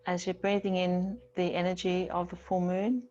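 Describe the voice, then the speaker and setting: A woman teaching yoga, giving gentle, soothing, unhurried guided instruction with pauses between phrases. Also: on the low side, flat delivery, highs cut off, slight background noise.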